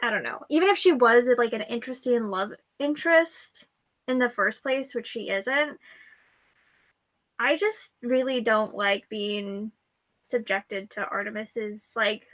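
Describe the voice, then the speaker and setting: High-pitched woman, blunt casual chat. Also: measured pace, fairly bright tone. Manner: frequent "like", blunt and informal. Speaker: book discussion co-host. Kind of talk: casual book discussion chat.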